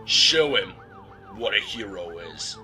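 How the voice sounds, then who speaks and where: Commanding comic-book superhero, stern, sharp forceful tone, slow delivery, music behind. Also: male voice, on the low side, sing-song, exaggerated voice. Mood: stern urgency. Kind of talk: comic-book audio drama acting.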